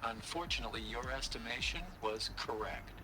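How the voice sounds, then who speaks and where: An actor playing a calm, formal official in a video game cutscene, clipped and matter-of-fact. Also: male voice, medium pitch, fairly quick, noisy background, lightly acted. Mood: composed. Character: calm, formal male official briefing recruits.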